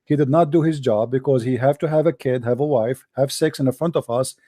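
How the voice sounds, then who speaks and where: A man's voice: medium pitch, fairly quick, dark tone, no room sound. A man hosting a heated call-in debate, his voice tired and dry, combative and repeating himself. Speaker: debate show host.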